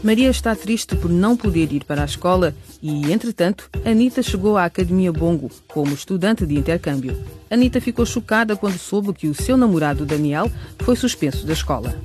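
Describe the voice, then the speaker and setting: A man's voice: high voice, animated, brisk, darkish tone, soft background music. A man reading scripted narration as the announcer of a radio serial.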